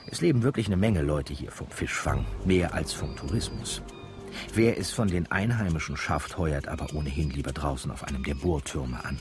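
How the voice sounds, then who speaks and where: A man playing a wry, chatty narrator who addresses the listener in a radio drama. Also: very deep voice. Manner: chatty, teasing.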